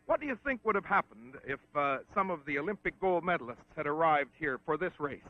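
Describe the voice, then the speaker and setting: A man conducting a formal, polite sports interview on a 1960s film, with a slightly halting delivery. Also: on the high side, animated. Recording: muffled 1960s film soundtrack.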